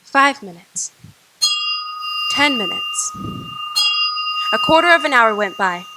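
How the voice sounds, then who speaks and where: A woman narrating a radio drama in a measured storytelling way. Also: on the high side, lively intonation, very noisy.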